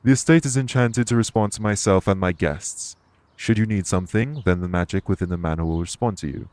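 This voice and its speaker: Audio roleplay lead: male actor as dignified ex-king and fae minister, low register, formal, reassuring delivery. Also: darkish tone, no room sound, close to an everyday voice. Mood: welcoming, reassuring. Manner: formal, measured, reassuring phrasing. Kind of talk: audio roleplay spoken to the listener.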